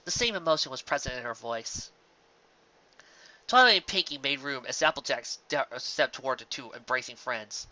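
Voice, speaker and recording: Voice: medium pitch, lively intonation, moderate pace, bright, forceful tone, no room sound. A narrator reading fan fiction aloud on a dull, close recording.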